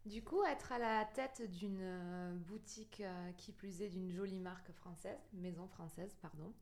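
A woman's voice: lowish voice, measured pace, a little mellow. A woman co-hosting a podcast, introducing a guest and putting questions to her. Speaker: podcast co-host, salon founder.

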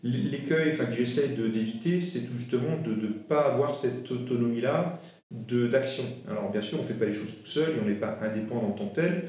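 A man's voice: medium pitch, a little mellow. Hesitant man in a very echoey room. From eastern France, Alsace. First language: Alsatian. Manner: halting, many fillers and restarts. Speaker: interim HR director. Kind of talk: podcast interview answers. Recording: very echoey room with faint noise.

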